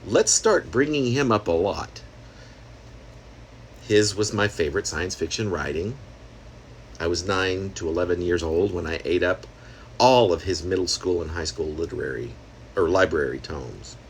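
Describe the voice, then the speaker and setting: A man's voice: sits low, expressive, moderate pace, slight background noise. A man reading his own experimental prose poem aloud in a conversational, wry manner, slipping in asides and rhetorical questions. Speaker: poet reading own work.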